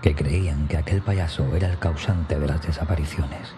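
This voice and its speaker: A man narrating a scripted first-person horror story in a hushed, breathy manner that often nears a whisper, with music behind him. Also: rumbling low, steady tone, normal speed. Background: background music.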